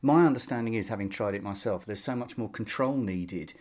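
A man's voice: fairly low pitch, fairly quick, a little mellow. A man hosting a podcast, asking questions in a conversational music interview.

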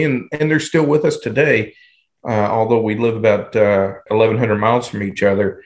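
American man in his fifties, medium pitch. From southern Indiana, United States. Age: 50s. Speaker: podcast guest, training director and researcher. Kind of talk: conversational interview answers.

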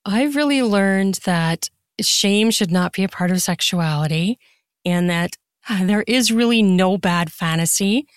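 A woman with a mid-pitched voice talks as a guest on a podcast. She is candid and earnest in a casual, conversational way, and the sound is dry. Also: unhurried, clean tone, no echo. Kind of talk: casual interview chat.

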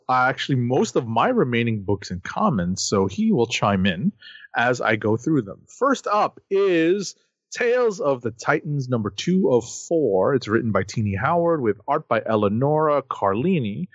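A man's voice: mid-range voice, a little slow, dry recording, highs cut off. A man co-hosting a comic book podcast, running through new issues and their credits in a casual chatty way. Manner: lively, reads credits then chats. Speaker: podcast co-host.

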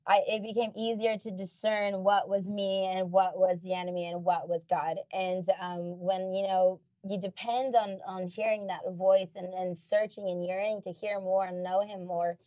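A woman's voice: moderate pace, no room sound. A young woman, a missionary, chatting casually about faith with her father and using lots of fillers. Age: young adult. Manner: chatty, many fillers, rises high when excited.

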